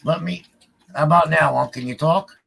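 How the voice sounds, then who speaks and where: A man's voice: fairly high pitch, moderate pace, gravelly, fairly bright tone, breathy edge. A man co-hosting a livestream in casual chat, often repeating short phrases. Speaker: podcast livestream co-host.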